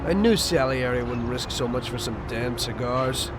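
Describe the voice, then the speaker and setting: An actor playing a cautious young mob driver who speaks in a wary, firm, down-to-earth way. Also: male voice, mid-range voice, normal speed, natural voice. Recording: game audio with street noise.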